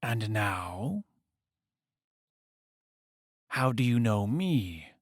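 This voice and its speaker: Sinister dwarfish male villain: husky broken whisper, drawn-out delivery, cold gothic audio-drama menace. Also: on the low side, big pitch swings, no room sound, studio quality, heavily acted. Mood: cold, guarded. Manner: slow, coolly menacing.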